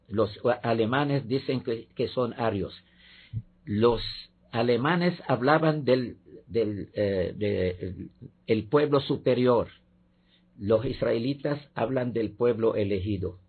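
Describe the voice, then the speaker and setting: A man, a guest professor, giving measured political commentary in a debate, pausing often with fillers. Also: medium pitch, slightly rough. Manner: measured, halting with many fillers. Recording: tinny phone-like line.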